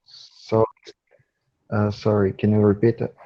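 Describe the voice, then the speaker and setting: A young Brazilian man, native in Portuguese, speaks English with a Brazilian accent in a low voice. He goes very slowly and hesitantly, hunting for words, over dull lo-fi audio. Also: monotone. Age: young adult. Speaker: English student. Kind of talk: halting conversation practice.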